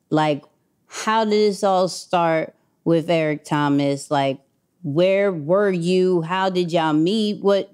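A woman co-hosting a podcast, asking a guest about his story in a relaxed, encouraging way. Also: on the low side, clear, resonant tone. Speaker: podcast co-host. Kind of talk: casual interview questions.

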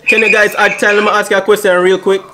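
A man's voice: high-pitched, level tone, fast talker, noisy background. A young man in his twenties with a Jamaican accent, chatting playfully with strangers on a video app in a slangy vlog. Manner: playful, slangy, drops Jamaican patois exclamations. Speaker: YouTube vlogger. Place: small home room indoors.

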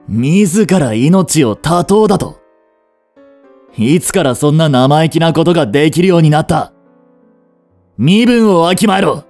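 Man acting a haughty young prince, dark voice, swinging pitch. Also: animated, unhurried, pauses often, dry recording, soft background music. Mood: alarmed, angry. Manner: imperious, curt, scolding. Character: cold, haughty young prince, commanding and secretly protective. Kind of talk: Japanese romantic audio drama acting.

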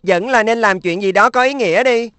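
A woman's voice: a little high, a little fast, a bit bright, dry recording, lightly acted. A woman voicing an earnest, slightly plaintive schoolboy in a dubbed children's cartoon. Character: earnest, thoughtful schoolboy, middle sibling.

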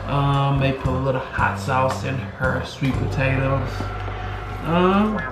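Laid-back man in an echoey kitchen. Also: medium pitch. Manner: laid-back, chatty, drawn-out filler words. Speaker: vlogger cooking at home. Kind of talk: casual cooking vlog, talking to camera. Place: home kitchen, indoors. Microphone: camera on the counter, several steps away. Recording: roomy kitchen echo, distant camera mic, loud background music.

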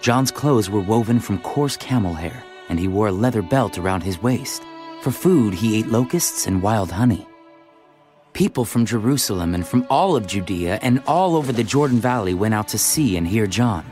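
A man's voice: on the low side, expressive, normal speed, darkish tone. A man reading scripted narration for a dramatized audio Bible. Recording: faint music behind the voice. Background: music.

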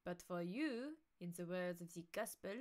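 A woman voicing a sly, mocking man with a nasal, drawn-out delivery in a novel reading. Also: lowish voice, lively intonation, darkish tone, close to an everyday voice. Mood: sly, taunting. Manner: drawn-out, taunting. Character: sly mocking man goading a lovesick rival.

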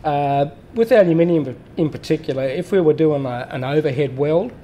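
A man's voice: a little high, normal speed, warm tone, highs cut off. Plain-spoken man explaining welder setup. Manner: plain-spoken, explains step by step with fillers and restarts. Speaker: welding machine specialist. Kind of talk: hands-on technical explanation. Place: workshop.

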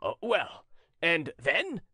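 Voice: mid-range voice, wildly swooping pitch, moderate pace, a bit bright, no room sound, studio quality, pitched above the performer's own voice, exaggerated voice. A narrator playing a jovial, mocking, worldly treasurer with a bantering, exclamatory manner in a storybook. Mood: astonished.

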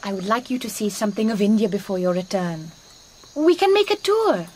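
A woman's voice: medium pitch, animated, brisk, darkish tone, natural voice. A woman playing a gracious, eager hostess in colonial India in a radio drama, effusive and coaxing. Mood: enchanted, coaxing.

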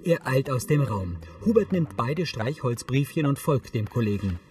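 Factual male narrator, medium pitch. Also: sing-song, normal speed, a little mellow. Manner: factual, concise. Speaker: audio description narrator. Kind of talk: scripted present-tense narration.

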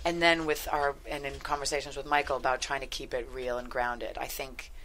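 A middle-aged woman talking casually as an interview guest, halting as she thinks aloud, on a headset mic in a studio. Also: extremely low pitch, flat delivery, fairly bright tone, slight background noise. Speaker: guest screenwriter. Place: small podcast studio, indoors.

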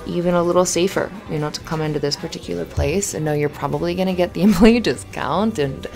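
Woman acting flirty barista, low creaky voice. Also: darkish tone. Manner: giggly, halting, rambling. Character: cute, flustered, flirty female cafe barista, kind and encouraging. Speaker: ASMR roleplay voice actor. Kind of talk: ASMR roleplay, conversational acting. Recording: music under the voice. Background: music.

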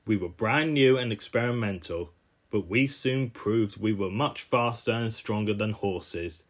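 A man's voice: lowish voice, measured pace. A man voicing a proud, nostalgic old steam engine in a children's cartoon, reminiscing like a storyteller.

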